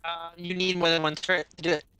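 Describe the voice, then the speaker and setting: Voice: mid-range voice, level tone, fast, bright, forceful tone, clean tone, no echo, rough recording. A game streamer chatting casually and rambling through long explanations, swearing now and then. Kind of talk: casual gaming stream chat.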